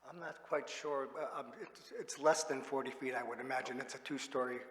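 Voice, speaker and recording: A man's voice: mid-range voice, steady tone, fairly quick, a bit bright. Man answering technical stuff, kinda hesitant, far from the mic in an echoey hall. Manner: hesitant, unsure.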